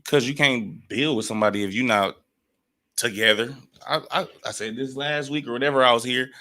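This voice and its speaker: A man in his late twenties talks in loose, blunt, profane sentences. His voice has a bright, forward edge. He sounds laid-back as he reasons things out on a podcast. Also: mid-range voice, fairly quick. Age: 20s. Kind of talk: casual chat.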